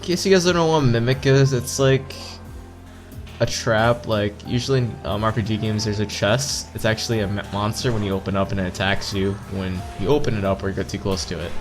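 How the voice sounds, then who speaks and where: A man's voice: lowish voice, fairly even intonation, a little fast. A man reading a visual novel aloud casually, playfully stumbling over words and adding side comments. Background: visual novel background music.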